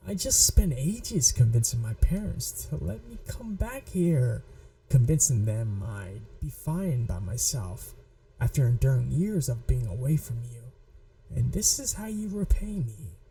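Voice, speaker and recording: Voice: mid-range voice, vocal fry, warm tone, far from the mic, full character voice, rough recording. A performer voicing a possessive, manipulative young yandere in an ASMR roleplay, taunting and coldly controlled. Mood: bitter, hurt. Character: possessive, manipulative yandere childhood friend, menacing young blackmailer. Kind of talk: scripted ASMR roleplay audio drama.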